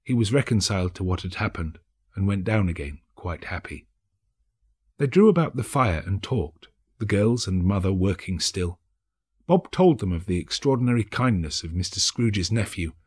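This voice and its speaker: A man narrating a story as an actor would, measured, solemn and expressive. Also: fairly low pitch, slightly rough. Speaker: narrator, actor reading an audiobook. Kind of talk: dramatic scripted storybook narration.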